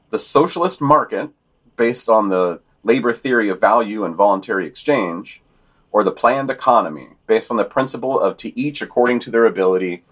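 A man co-hosting a podcast, reading scripted news commentary and quotations with informal, sardonic asides. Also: fairly low pitch. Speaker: podcast co-host.

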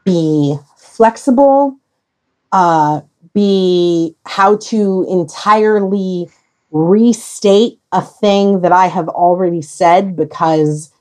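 A woman hosting a podcast discussion in casual talk, with emphatic, deliberate phrasing and pauses. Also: fairly low pitch, dark tone.

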